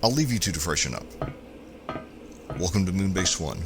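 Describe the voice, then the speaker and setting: An actor playing a polite, formal moonbase steward in an audio drama. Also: male voice, deep voice, lively intonation, moderate pace, loud music behind the voice. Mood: courteous. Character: formal male moonbase steward showing guests in. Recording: loud background noise, clicks.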